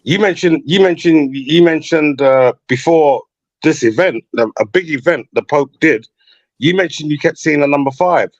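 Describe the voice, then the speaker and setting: A man's voice: fairly high pitch, normal speed, dry recording. Rambling man on a muffled call line. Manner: loose, repeats "you know what I mean". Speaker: guest on a call-in talk show. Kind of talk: rambling casual conversation. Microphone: online voice call.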